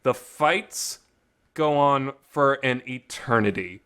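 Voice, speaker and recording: Bright-voiced man rambling his opinions. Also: mid-range voice, animated, takes it slowly, smooth. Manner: emphatic, repeating words for stress. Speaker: visual novel reviewer. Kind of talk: casual opinionated rambling talk. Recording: reverberant room sound.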